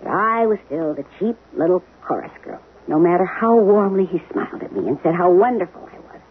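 A woman's voice: fairly low pitch, animated, fairly quick, slight background noise, close to an everyday voice. An actress playing a wry, plain-spoken middle-aged wife and former showgirl in a radio drama. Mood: hurt, bitter. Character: frank, wounded middle-aged wife, former chorus girl. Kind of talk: 1970s radio drama acting. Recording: lo-fi phone-like old radio broadcast, music behind.

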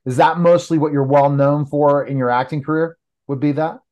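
A man's voice: fairly high pitch, steady tone, normal speed, lo-fi sound. A man in his fifties hosting a casual interview, rambling and tripping over his questions. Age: 50s. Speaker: host.

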